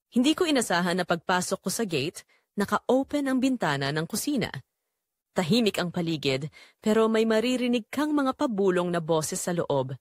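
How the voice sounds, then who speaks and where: A woman's voice: medium pitch, no echo, clean studio sound. A woman with a Filipino accent narrating a scripted first-person drama in an emotional, theatrical way.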